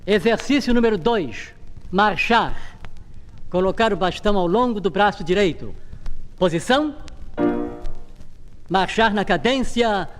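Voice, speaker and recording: A man's voice: sits high, animated, a little slow, pauses often, long reverb tail. A Brazilian man leading vintage radio gymnastics with formal, clipped, commanding instructions and counts. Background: piano music.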